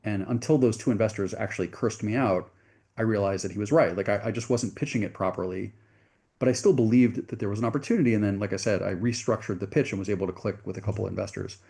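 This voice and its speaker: A man's voice: on the low side, fast, gravelly, dark tone. A middle-aged man from the United States, a venture capitalist guest in an interview, telling his story earnestly and correcting himself as he goes.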